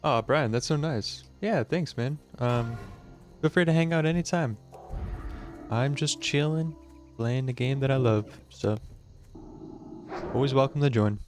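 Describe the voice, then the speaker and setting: A young man from the Chicago suburbs, chatting casually with his viewers while he plays a game, with easy fillers and a relaxed tone. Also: medium pitch, measured pace, pauses often. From Chicago suburbs, USA. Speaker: gaming streamer. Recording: music playing behind the voice.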